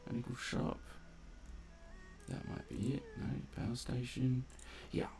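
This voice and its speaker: A man giving casual gameplay commentary, muttering asides and exclaiming as he reacts out loud. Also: medium pitch, little pitch movement, unhurried, gravelly, faint music behind. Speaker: gamer narrating a playthrough.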